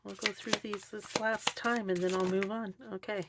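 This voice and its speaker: A woman doing an unscripted tarot reading, thinking aloud in a halting, musing way. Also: mid-range voice, little pitch movement, background noise. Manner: hesitant, halting delivery with musing pauses. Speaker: tarot reader.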